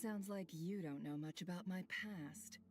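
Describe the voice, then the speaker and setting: An actress playing a guarded, aloof wanderer with a bitter past in a video game, talking in a cool, dry, sardonic way. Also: female voice, fairly low pitch, unhurried, music in the background. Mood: sardonic, dismissive. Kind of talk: scripted video game voice acting.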